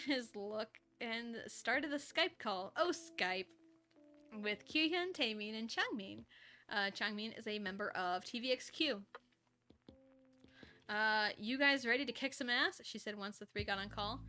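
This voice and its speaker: A woman's voice: fairly high pitch, bright, forceful tone. A woman streamer reading fan fiction aloud in a casual way, given to breaking off for offhand reactions of her own. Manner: breaks off to react and giggle at the story. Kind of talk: casual live story reading with offhand asides.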